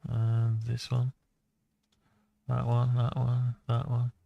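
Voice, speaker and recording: A man talks himself through a software task in a very creaky, gravelly voice. His delivery is slow and flat, muttered as he thinks aloud. The sound is dry and close, like an informal hobby tutorial. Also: mid-range voice, no room sound, dull audio. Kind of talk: unscripted screen-recorded software walkthrough.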